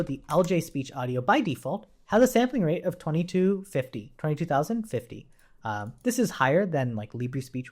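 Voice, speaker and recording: Casual male coding instructor, lively pitch. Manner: informal, thinks aloud while explaining. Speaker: programming tutorial instructor. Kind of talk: casual explanatory coding walkthrough.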